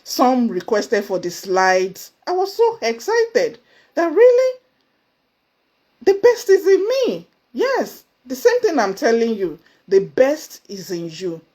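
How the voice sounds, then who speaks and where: Earnest Nigerian woman, emphatic and lively. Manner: earnest, emphatic, with sudden high exclamations. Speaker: motivational speaker. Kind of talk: motivational talk to camera. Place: indoors, seated before camera.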